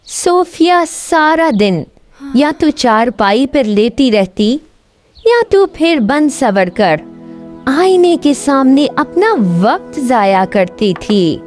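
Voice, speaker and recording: High-pitched, lively woman storyteller. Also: soft background music. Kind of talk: scripted fairy tale narration.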